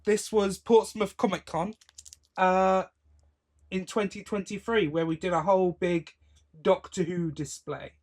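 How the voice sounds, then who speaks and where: Higher-voiced British man chatting on a podcast. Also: steady tone, unhurried, a little mellow. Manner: chatty, rambling, self-interrupting. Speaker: podcast host. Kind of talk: casual chat.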